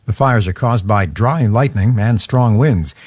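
A man anchoring an hourly radio newscast, reading in a crisp, measured way. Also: on the low side, darkish tone. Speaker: news anchor.

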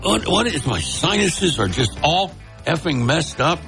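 Nasal, animated male radio host. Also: fairly high pitch, unhurried, vocal fry, soft background music. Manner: animated, joking, rambling. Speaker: radio talk show host. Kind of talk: casual on-air chat. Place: radio studio. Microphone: studio mic with headphones.

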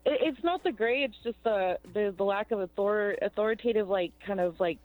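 A woman speaks plainly and bluntly into the mic and gives her honest take. Her voice sits at a middle pitch. The sound is close and dry. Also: a little fast, no echo, phone-quality sound. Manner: blunt, matter-of-fact. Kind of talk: casual radio show banter.

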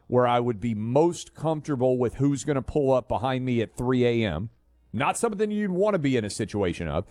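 A man's voice: mid-range voice, no room sound, studio quality. A man hosting a talk radio show, speaking in a conversational, opinionated way. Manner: conversational, opinionated, fillers and restarts. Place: radio studio. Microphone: studio mic.

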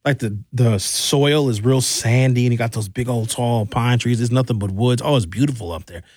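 A middle-aged American man hosting a radio talk show in an informal, opinionated storytelling style, colloquial and animated, on a close studio mic. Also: medium pitch, moderate pace. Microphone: close studio microphone.

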